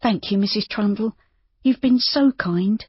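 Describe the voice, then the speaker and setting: A reader pitches up to play a young woman stranded with her companion, anxious and well-mannered, her words tumbling out nervously. The voice is husky and breathy. The recording sounds dry and slightly muffled. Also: measured pace, no room sound. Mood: anxious, polite.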